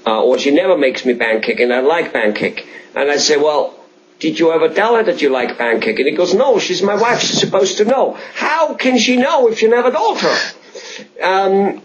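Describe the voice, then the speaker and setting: A man with an Austrian accent, a native German speaker, interviewing conversationally in a halting, repetitive, emphatic way with rambling examples. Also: a little high, animated, lo-fi sound. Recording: faint background noise.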